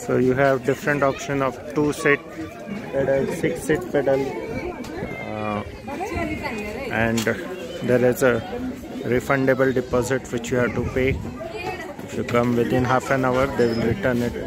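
A man's voice: medium pitch, little pitch movement, normal speed, long pauses, over a noisy crowd. A man, filming a cheerful travel vlog on a phone held at arm's length by a lake. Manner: cheerful, chuckling, wry about hardship. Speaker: travel vlogger. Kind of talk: casual travel vlog narration. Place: outdoors at a lake, on a paddle boat. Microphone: phone selfie at arm's length. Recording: loud outdoor noise, boats and traffic. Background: loud outdoor ambience and vehicles.

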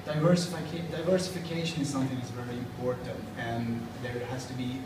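A man's voice: medium pitch, level tone, brisk, over loud music. A man in his thirties from Sweden, a native Swedish speaker, answering interview questions in a thoughtful, hesitant way, sitting in a school corridor. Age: 30s. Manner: thoughtful, hesitant with fillers and restarts. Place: school corridor with plastic chairs. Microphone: far camera. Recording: echoey hallway from far off, noisy.

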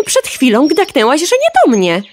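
Casting: vain, dim young hen in a children's audio play, flippant, lively intonation, faint birdsong behind. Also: female voice, high voice, moderate pace, slight background noise. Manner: flippant, nonchalant, cheeky. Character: vain, cheeky, dim young hen, breezily self-absorbed. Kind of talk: children's radio play acting.

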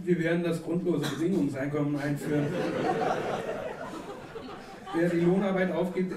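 A man in his thirties, a native Turkish speaker, reading a comic poem with deadpan delivery on a theatre stage at a stand mic. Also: on the high side. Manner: deadpan satirical delivery, chatty asides with fillers. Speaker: poetry slam performer. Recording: echoey hall from a distance, audible noise. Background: audience laughter.

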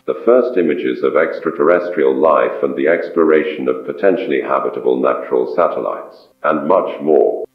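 Very deep-voiced man narrating formally. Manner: measured, formal, impersonal delivery. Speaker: documentary narrator. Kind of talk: scripted narration for an analog horror space documentary. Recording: dull lo-fi audio with roomy echo. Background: loud music.

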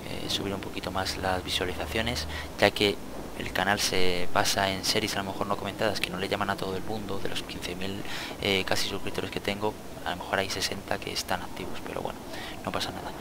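Low, creaky Spanish male gamer. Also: flat delivery, a little fast, background noise. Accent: Spain. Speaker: gaming channel host. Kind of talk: casual gameplay commentary.

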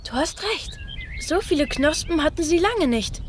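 Bold, curious little boy, fairy tale radio play, high-pitched and animated. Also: moderate pace, slight background noise. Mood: friendly. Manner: eager, boyish.

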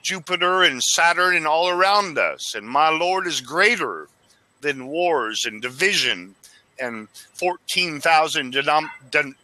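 A man's voice: fairly high pitch, lively intonation, measured pace, sharp tone, no echo, low-quality recording. A man in his forties from Arizona, calling into a video chat and talking fervently about faith, rambling and tripping over words. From Arizona, United States. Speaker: caller. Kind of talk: casual religious video chat. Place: small dim room indoors. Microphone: headset.

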